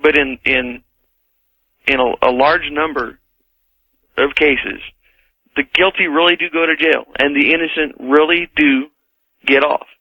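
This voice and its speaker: A man calling in to a radio show to debate, halting and repeating phrases for emphasis. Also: a little high, fairly even intonation. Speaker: radio caller. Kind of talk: conversational debate. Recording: dull lo-fi radio call.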